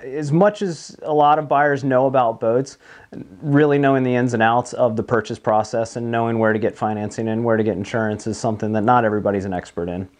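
Male, thirties, medium pitch, conversational expert interviewee explaining a process. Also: fairly even intonation, a little fast, darkish tone. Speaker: marine broker, interview guest. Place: small office meeting room, indoors.

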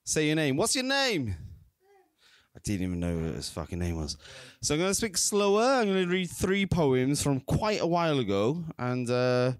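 A man in his thirties performing at an open mic, rambling and self-deprecating between slow, deliberate poem readings into a stand mic on a small indoor stage. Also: fairly high pitch, wildly swooping pitch, clean tone, dry recording, clean studio sound. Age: 30s. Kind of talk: spoken word poetry reading. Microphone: stand mic, reading from phone.

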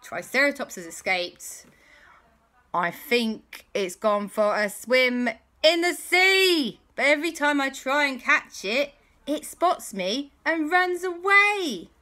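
A woman voicing an excitable, flustered time-travelling man in a bedtime story, talking eagerly. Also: sits high, slow, pitched above the performer's own voice, exaggerated voice.

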